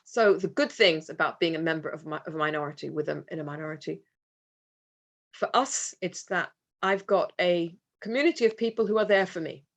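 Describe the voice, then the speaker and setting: A woman speaking as a parent at a conference, giving a reflective presentation with slides, pausing and restarting as she goes. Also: fairly low pitch. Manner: earnest, reflective, with pauses and restarts. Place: conference hall.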